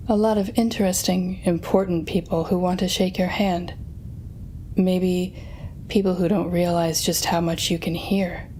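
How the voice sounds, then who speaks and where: Villainess for audio roleplay, played by a woman: slightly low register, theatrical and needling, intimate address to the listener, faint noise behind. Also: a little mellow, lightly acted. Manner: taunting, theatrical, drawn-out teasing phrasing. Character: sardonic female telepathic supervillain, defeated but smug.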